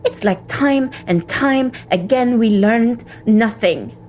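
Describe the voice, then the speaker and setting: A woman as an embittered, defiant agent, fairly high-voiced. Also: takes it slowly, darkish tone, slight background noise. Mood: bitter, exasperated. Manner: world-weary, intense, sardonic. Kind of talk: scripted audio drama monologue and dialogue.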